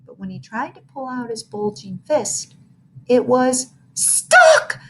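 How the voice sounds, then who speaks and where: A woman reading a children's book aloud as storyteller, with an expressive, theatrical delivery. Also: a little high, slow, rough recording. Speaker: story reader.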